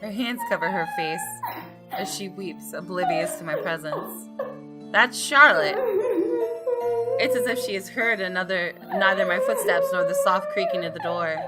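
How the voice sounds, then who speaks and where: A woman hosts a gameplay video, reading visual novel text as suspenseful storytelling and adding casual remarks. Her pitch sits a little low, and game music is clearly heard behind her. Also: lively intonation, moderate pace. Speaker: gaming YouTuber, host. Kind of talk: dramatic read-aloud of a visual novel with casual commentary.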